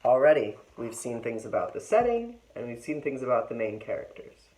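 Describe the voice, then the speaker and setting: Woman teacher, low voice, very lively intonation, expressive storybook narration with explanatory asides. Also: a little fast, a little hiss or hum, rough recording. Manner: expressive, clearly phrased, explanatory. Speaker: teacher reading aloud. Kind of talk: storybook read-aloud with short lesson asides.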